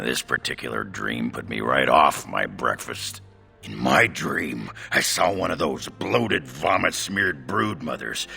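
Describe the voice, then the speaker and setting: Very deep, gravel-throated dwarf warrior. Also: male voice, bright, forceful tone, heavily acted. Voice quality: gravelly, growling. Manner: blunt, rambling, crude. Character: gruff, blunt, boozy male dwarf warrior. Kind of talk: video game voice acting.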